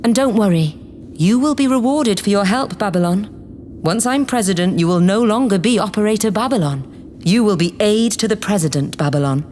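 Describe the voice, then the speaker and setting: A woman's voice: medium pitch, animated, normal speed, a little mellow, slight background noise, natural voice. A woman playing an imperious, condescending politician plotting to seize power in an audio drama. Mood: grandiose, dismissive. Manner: haughty, condescending, grandiose. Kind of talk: theatrical audio drama acting.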